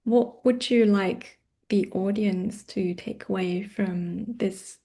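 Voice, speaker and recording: A woman's voice: mid-range voice, fairly even intonation, slow, warm tone. A woman hosting an interview in a conversational style, asking questions and addressing viewers. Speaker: interviewer, host.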